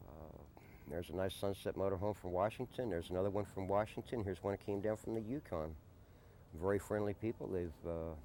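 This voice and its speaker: A man being interviewed in a conversational documentary, speaking deliberately and often repeating a question back before answering. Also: low-pitched, a little mellow. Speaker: interviewee, desert camp resident and odd-job handyman. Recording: noisy early-90s amateur documentary tape.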